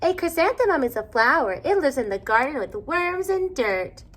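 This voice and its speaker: A woman's voice: high voice, big pitch swings, moderate pace, a bit bright, voice pushed up. Smug, tactless storybook mean girl, read by a woman, needling her classmates. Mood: taunting. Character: snooty, tactless schoolgirl bully.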